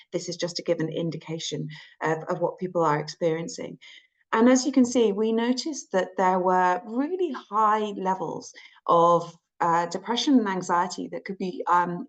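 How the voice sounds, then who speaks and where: Woman with a croaky voice presenting research. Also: lowish voice. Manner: measured, explanatory, with frequent ums. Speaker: clinical psychologist presenting research. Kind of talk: webinar presentation.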